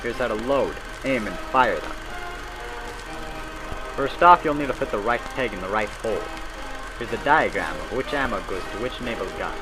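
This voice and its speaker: A man reading scripted narration in the style of a 1940s military training film, brisk and instructive with folksy old-time phrasing. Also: fairly low pitch, expressive, over music, rough recording.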